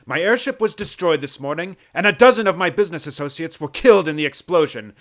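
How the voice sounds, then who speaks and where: A man's voice: a little high, animated, quick pace, a bit bright. An actor playing a stern, pompous middle-aged tycoon and domineering father in a radio play. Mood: cold, dismissive. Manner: formal, pompous.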